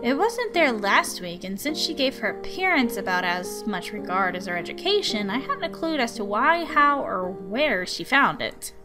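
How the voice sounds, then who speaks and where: Exasperated, dryly scolding guardian read by a woman, animated. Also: a little high, moderate pace, few pauses. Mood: dry narration. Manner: dry, scolding, sardonic. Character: exasperated, dutiful guardian narrating a visual novel. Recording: music behind the voice.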